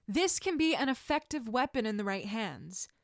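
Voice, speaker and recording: A woman voicing an encouraging adult female martial arts teacher in measured, instructive story dialogue. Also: fairly high pitch, lively intonation, no room sound, clean studio sound. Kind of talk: audiobook-style character dialogue.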